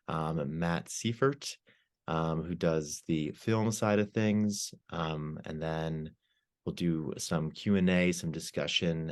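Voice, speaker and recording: A man from an admissions office hosting a virtual open house over a video call, relaxed and rambling with many ums. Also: low voice. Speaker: admissions staff host.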